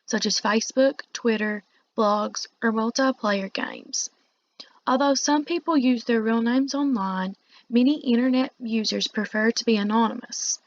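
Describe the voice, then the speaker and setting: Woman teacher with a creaky, higher-pitched voice. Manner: explanatory, pausing to paraphrase the text. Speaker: teacher reading a lesson aloud. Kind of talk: read-along lecture with informal asides. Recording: dull, muffled lo-fi recording.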